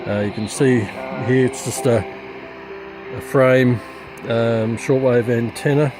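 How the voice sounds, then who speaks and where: A man giving a halting, matter-of-fact, unscripted demonstration of gear as a hobbyist. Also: medium pitch, steady tone, over music, rough recording. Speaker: radio hobbyist presenter.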